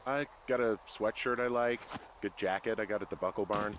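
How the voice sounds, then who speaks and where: Easygoing American guy, middle-aged fire lookout, played by a male actor: lowish voice, dry deadpan game dialogue, slight hiss. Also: a little fast, close to an everyday voice. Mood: deadpan, casual. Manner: deadpan, casual, slightly defensive. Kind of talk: naturalistic video game dialogue.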